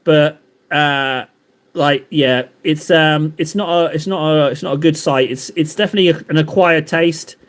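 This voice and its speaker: A man with a fairly high voice chats casually on a live stream as he paints. He rambles, cracks self-deprecating jokes and answers his viewers in a loose, playful way. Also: moderate pace. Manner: rambling, jokey, banters with chat.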